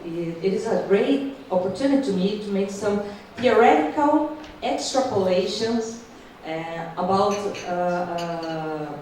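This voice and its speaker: Brazilian woman law professor, Portuguese accent; careful halting panel talk, very echoey hall with faint room noise. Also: medium pitch, a little mellow. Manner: careful, halting, many restarts. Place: conference hall. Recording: distant mic in echoey hall.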